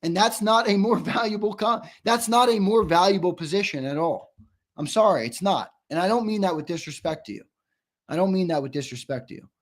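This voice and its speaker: A man hosting a live sports talk stream, reading viewer comments and arguing back, emphatic and repetitive, his voice going hoarse. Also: high-pitched, brisk. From United States. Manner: argumentative, emphatic, repeats himself for stress.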